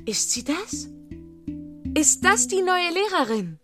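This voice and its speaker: A woman's voice: very high voice, big pitch swings, slow, a bit bright, faint music behind, full character voice. An actress playing a pretty, playful, forward young lady in a radio drama, with a girlish, teasing manner.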